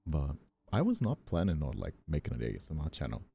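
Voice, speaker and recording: A man's voice: a bass voice, huge pitch swings, quick pace, vocal fry, mellow, slightly rough. A young man from Brazil chatting casually, telling stories in a rambling, self-deprecating way. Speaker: ASMR voice actor and streamer. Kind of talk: casual chat.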